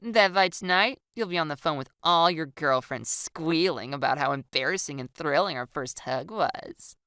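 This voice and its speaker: Playful, excitable young woman, played by a woman narrator: teasing, theatrical, lively and crisp, for audiobook dialogue. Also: deep voice, normal speed, dry recording, studio quality, pitched above the performer's own voice.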